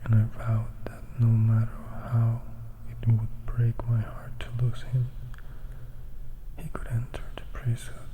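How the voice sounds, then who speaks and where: A man's voice: medium pitch, monotone, unhurried, background noise, close to an everyday voice. A man voicing a melancholy, composed vampire who recounts his past in a reflective, whispered storybook reading. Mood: wistful, confiding. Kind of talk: whispered ASMR audiobook reading.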